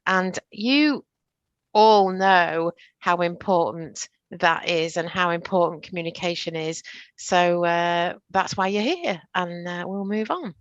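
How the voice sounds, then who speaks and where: A woman's voice: lowish voice, slow pace, no echo, dull audio. Chatty northern English woman on a headset. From north of England. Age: middle-aged. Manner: chatty, self-deprecating, joking. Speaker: trainer. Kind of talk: casual presentation on a video call.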